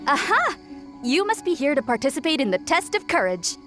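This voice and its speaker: A woman's voice: high voice, very animated, a little fast. A woman voicing a young shrine maiden in a video game, sly, cryptic and theatrically foreboding. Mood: ominous. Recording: faint background music.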